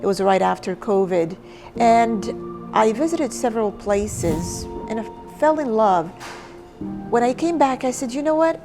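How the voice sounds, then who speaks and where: A woman's voice: a little high, animated, unhurried. A woman from Morocco giving a personal interview as the founder of an organization. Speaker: founder and chairwoman of a women's organization. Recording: clear music behind the voice. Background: background music.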